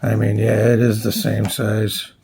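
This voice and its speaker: A man giving a casual, unscripted product walkthrough as a reviewer, plainspoken and halting as he thinks aloud. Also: fairly low pitch, monotone, background noise. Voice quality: gravelly, husky. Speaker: radio reviewer presenting his channel.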